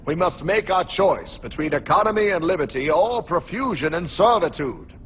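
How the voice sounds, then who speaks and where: An actor voicing a solemn founding-father statesman in a cartoon, speaking in measured oratory. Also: on the high side, animated, a bit bright, slight background noise. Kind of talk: cartoon voice acting.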